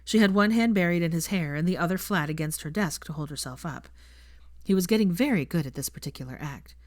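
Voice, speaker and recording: A woman's voice: fairly low pitch, animated, quick pace, no echo, studio quality. A woman narrating scripted fiction as an audiobook reader.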